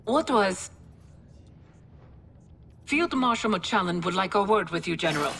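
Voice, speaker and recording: An actress playing a dry, wry female military adviser with a husky voice, briefing in a matter-of-fact way in a game cutscene. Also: medium pitch, lively intonation, measured pace, noisy background. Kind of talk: video game cutscene acting.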